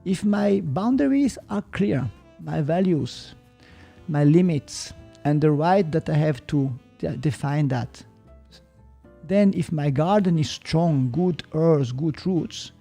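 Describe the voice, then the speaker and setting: A man with a Swiss German accent, explaining ideas on a podcast in a slow, reflective way and sometimes searching for English words. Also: on the high side, expressive, gravelly, mellow, soft background music. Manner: slow, thoughtful, gets stuck on English words. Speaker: podcast guest, martial arts teacher.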